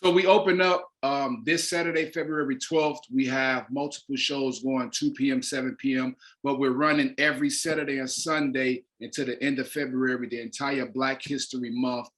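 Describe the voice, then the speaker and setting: A man with an American accent talks as a stage director being interviewed. His pitch sits in an average male range, and his delivery is casual and promotional. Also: fairly even intonation, moderate pace, hardly any pauses, a bit bright.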